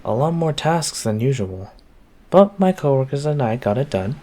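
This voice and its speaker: A man's voice: medium pitch, big pitch swings, normal speed, warm tone. A man playing an affectionate, easygoing boyfriend in an intimate roleplay audio, talking tenderly and casually straight to the listener. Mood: tired, tender. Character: affectionate easygoing boyfriend home from work on a snowy night.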